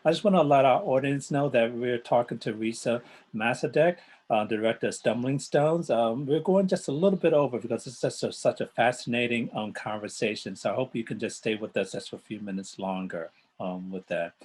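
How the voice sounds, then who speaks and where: A man's voice: medium pitch, fairly quick, a little mellow, husky. A man moderating a festival Q&A, putting questions in hesitant, stop-and-start phrases. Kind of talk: interview questioning.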